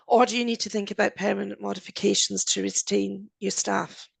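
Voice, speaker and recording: An Australian woman giving a presentation in a croaky voice, posing quick rhetorical questions. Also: medium pitch, flat delivery, dry recording. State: croaky, coughing.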